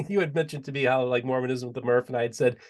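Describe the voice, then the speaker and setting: A man's voice: mid-range voice, quick pace. A male podcast host chatting casually and enthusiastically, often repeating and restarting his words. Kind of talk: casual interview chat.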